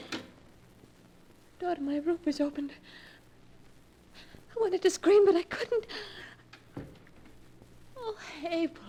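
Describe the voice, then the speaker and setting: An actress playing a frightened young woman who fears for her sanity, in halting, breathless old-time radio horror style. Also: high-pitched, a little mellow. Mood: haunted, fearful. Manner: breathless, halting, repeating words. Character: frightened young woman fearing she is going insane. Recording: noisy old radio recording.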